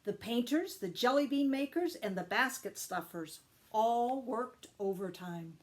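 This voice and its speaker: A woman reading a children's story aloud with expressive, deliberate emphasis. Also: a little high. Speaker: storytime reader, teacher.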